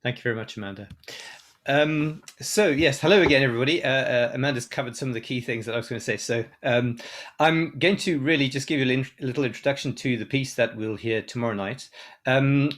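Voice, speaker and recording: A man's voice: mid-range voice, quick pace, fairly bright tone. A man from South Africa, a composer giving a conference talk in a hesitant way, with many ums and restarts. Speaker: composer and lecturer. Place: online symposium.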